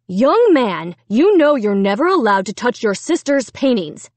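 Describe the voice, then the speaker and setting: A woman's voice: a little high, very animated, moderate pace, no room sound. A woman voicing a middle-aged father who scolds and reasons with restrained, weary sternness in a storybook. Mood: scolding. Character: scolding, tight-jawed middle-aged father, tiredly patient.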